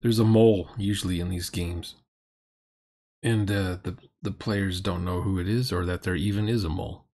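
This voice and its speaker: A man's voice: low voice, steady tone, normal speed, mellow. A middle-aged man giving mocking, off-the-cuff reaction commentary in a sarcastic, grumbling manner. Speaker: commentator reacting to a recorded tabletop game.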